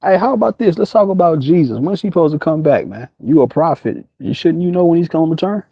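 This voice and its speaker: A man's voice: on the high side, lively intonation, fast, breathy edge, no echo. An American man calling into a casual debate, needling his opponent with challenging questions over a lo-fi online call.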